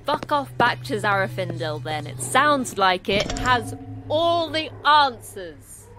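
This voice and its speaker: A woman's voice: fairly high pitch, very animated, slow, pushed and bright, a little hiss or hum, full character voice. A woman playing a terse, cynical station manager, curt and sarcastic, in a sci-fi horror audio drama. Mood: sarcastic, weary.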